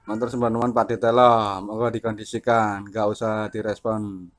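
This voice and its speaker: Low-key man chatting on radio. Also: flat delivery, moderate pace. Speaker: amateur CB radio operator and vlogger. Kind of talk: casual radio chat.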